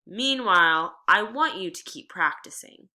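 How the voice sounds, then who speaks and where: A confident, breezy father acted by a woman reading a storybook. He is encouraging and insistent, in a bright voice with animated pitch and unhurried pacing. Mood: determined.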